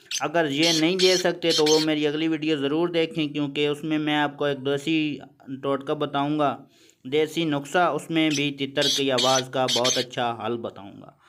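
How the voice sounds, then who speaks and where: A man hosting his own channel, explaining a simple remedy in a plain, repetitive way and calling his viewers friends. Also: on the high side. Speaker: channel host. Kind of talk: instructional vlog on bird care. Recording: noisy home recording.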